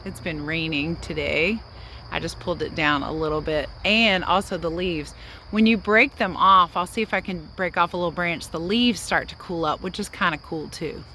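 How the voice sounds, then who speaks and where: A woman's voice: lowish voice, sharp tone, slight background noise. A woman reading and explaining to young viewers as a children's read-aloud teacher and host.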